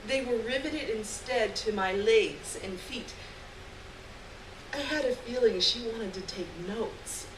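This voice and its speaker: A woman giving a measured, expressive reading of a literary short story at a live event. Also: fairly high pitch. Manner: measured, acts out dialogue. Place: indoor live stage reading. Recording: roomy, noisy live recording from a distance.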